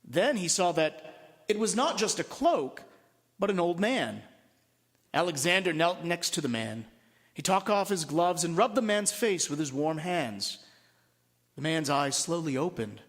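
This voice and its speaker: A man with a high, swooping voice reads a story aloud as a priest would to his congregation. His delivery is dramatic and deliberate, and the sound rings long through a large church. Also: very animated. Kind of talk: expressive storybook narration to listeners. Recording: long reverberant hall.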